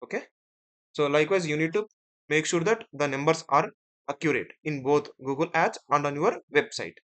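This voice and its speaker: A man teaching as an instructor in a screen-share software tutorial, explaining step by step and often checking in with short tag words. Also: on the high side, moderate pace. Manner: step-by-step, often ending phrases with "right?" and "okay".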